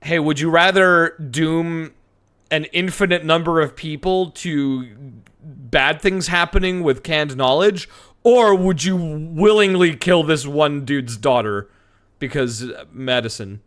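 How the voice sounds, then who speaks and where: A man's voice: fairly high pitch, slow pace, a bit bright. A man commentating casually on a game livestream, joking with a sarcastic, theatrical manner. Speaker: streamer and voice actor.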